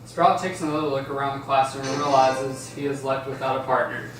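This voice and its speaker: A man's voice: mid-range voice, little pitch movement, fairly quick. A man narrating stage directions at a script table read, recorded in an echoey room from a distance. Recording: echoey room, distant mic, faint noise.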